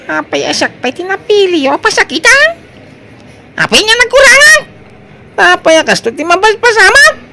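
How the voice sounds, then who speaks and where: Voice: high voice, bright, forceful tone, slight background noise, full character voice. An actor playing a heartbroken, jilted older brother in a comedy drama, wailing and overwrought in an exaggerated style.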